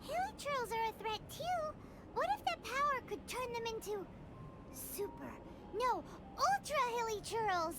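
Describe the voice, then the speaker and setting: Tiny excitable fairy sidekick for a game: extremely high, squeaky, swooping, cheeky. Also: female voice, unhurried, heavy background noise. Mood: excited, worried. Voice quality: squeaky, childlike. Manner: bubbly, dramatic, speaks of herself in third person. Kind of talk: scripted video game dialogue. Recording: loud background music under the voice.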